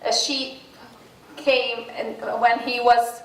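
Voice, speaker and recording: A woman's voice: on the high side, flat delivery, takes it slowly. A woman from Tunisia, speaking with many fillers and restarts as a guest speaker at an informal class presentation. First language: Arabic and French. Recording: echoey room from a distance, faint hiss.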